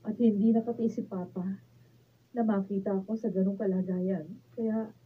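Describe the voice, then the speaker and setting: A woman's voice: medium pitch, steady tone, normal speed, breathy edge, close to an everyday voice. An actress playing a devoted, emotional young woman in love in a Filipino radio drama. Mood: tender, emotional. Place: radio drama recording studio, behind-the-scenes video. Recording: phone-like lo-fi sound, faint noise.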